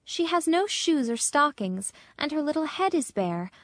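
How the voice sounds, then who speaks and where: A woman playing a noble, sorrowful statue prince in a storybook, speaking gently, pleadingly and in measured phrases. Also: high voice, very animated, dry recording, clean studio sound. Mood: pleading, compassionate. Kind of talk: acted storybook dialogue.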